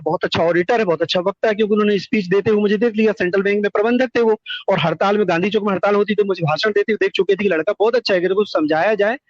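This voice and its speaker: A man's voice: high voice, dry recording. A middle-aged man giving personal testimony in conversation over a phone call, earnest and wordy, repeating words for emphasis. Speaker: call-in guest telling their life story. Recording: dull lo-fi call recording.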